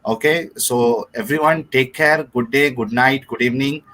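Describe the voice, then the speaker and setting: A man with an Indian accent hosting a livestream off the cuff, speaking politely and haltingly with formal forms of address. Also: mid-range voice, a bit bright. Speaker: livestream host.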